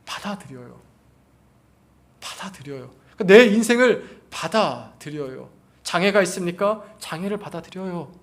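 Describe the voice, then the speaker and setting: A male pastor delivers a sermon in a high-set voice. His intonation swings widely and animatedly. He puts questions to the congregation and repeats key phrases for emphasis. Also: fairly bright tone.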